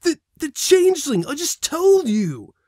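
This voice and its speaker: An earnest stallion guard captain from a cartoon pony tale, acted by a man. He speaks in a raised, lively voice with urgent authority and growing exasperation, recorded dry. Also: very high-pitched, unhurried, no echo, voice pushed up, exaggerated voice. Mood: urgent, exasperated.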